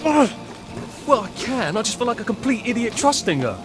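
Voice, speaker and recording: A man's voice: extremely high pitch, sing-song, moderate pace. Awkward english guy, fussy n wry, voice goes up and down a lot, music under, game cutscene. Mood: exasperated. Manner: hesitant, fussy, dryly sarcastic. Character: mild, awkward, exasperated everyman trying to escape. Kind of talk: video game cutscene acting.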